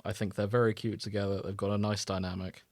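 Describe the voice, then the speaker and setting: A man's voice: fairly low pitch, little pitch movement, fast talker, darkish tone, dry recording, clean studio sound. A man co-hosting a podcast in casual banter, chatting informally and swearing now and then. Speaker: podcast co-host.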